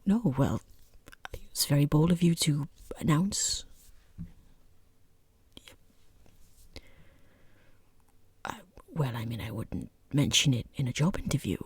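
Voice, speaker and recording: A woman playing a rude, condescending female boss interviewing a job applicant in soft-spoken ASMR, half-whispered, with dry, sarcastic politeness. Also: sits low, sing-song, normal speed, husky, dry recording, full character voice. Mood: awkward, disapproving.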